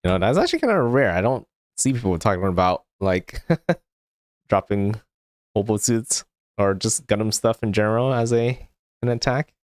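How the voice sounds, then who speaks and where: A man's voice: lowish voice, lively intonation, normal speed, no echo. A man livestreaming a video game, giving casual, rambling commentary as he thinks aloud. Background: game music.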